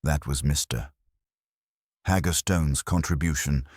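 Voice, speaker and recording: A man's voice: very deep voice, unhurried, dry recording, clean studio sound. A man voicing a self-justifying male council official in a mystery audiobook, stiff, bureaucratic and formal. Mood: relieved. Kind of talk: audiobook mystery character dialogue.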